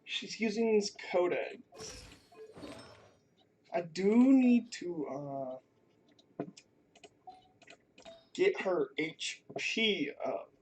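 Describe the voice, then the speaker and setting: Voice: mid-range voice, drawn-out words, pauses often, a little hiss or hum. A gamer giving casual commentary while playing a video game. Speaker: gaming streamer.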